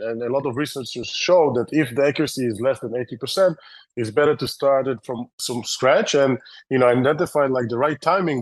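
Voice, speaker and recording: A man's voice: medium pitch, no room sound. Israeli man, loose and rambling talk. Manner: loose, rambling, many fillers and restarts. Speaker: company founder and CEO. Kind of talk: casual interview answers.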